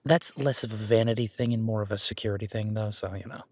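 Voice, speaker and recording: Guy acting as a friendly werewolf boyfriend, lowish voice, chatty gym coaching, encouraging, roleplay audio, really dry close recording. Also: fast talker, darkish tone, no echo, natural voice. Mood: easygoing. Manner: casual, encouraging, rambling. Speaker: gym partner giving workout guidance. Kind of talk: roleplay audio drama, one-sided casual conversation.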